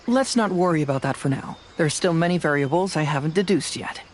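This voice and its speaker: A man voicing a sharp young male detective in a video game, speaking in a measured, deliberate way as he reasons things out. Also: on the high side, a little hiss or hum, lightly acted. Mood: analytical. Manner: measured, deliberate deductive speech. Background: game soundtrack music.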